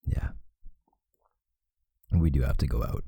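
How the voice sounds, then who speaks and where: A man playing a tender, protective vampire lover in an intimate ASMR roleplay, speaking in a husky, hushed voice with deliberate pauses. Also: no echo, clean studio sound, exaggerated voice. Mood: soothing, patient. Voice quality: very deep, gravelly, husky, breathy, often near a whisper.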